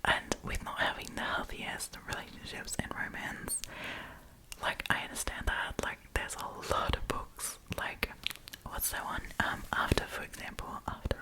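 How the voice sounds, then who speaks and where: A woman's voice: moderate pace, low-quality recording. Whispering woman, ASMR storytelling. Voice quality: breathy, unvoiced whisper. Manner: chatty, informal, opinionated. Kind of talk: whispered ASMR reading of online stories with casual commentary.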